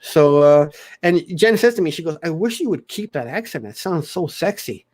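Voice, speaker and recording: A man with a mixed South African and Canadian accent, hosting a casual live chat in an animated, chatty way. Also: on the high side, normal speed. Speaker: livestream host.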